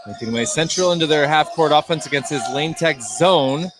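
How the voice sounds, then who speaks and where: A man's voice: a little high, fairly quick, music in the background. A man doing live play-by-play radio commentary on basketball, describing the action in short, clipped phrases. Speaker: play-by-play announcer. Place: indoor basketball gym, courtside broadcast. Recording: noisy gym sound, bouncing balls. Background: loud gym ambience, basketball bounces.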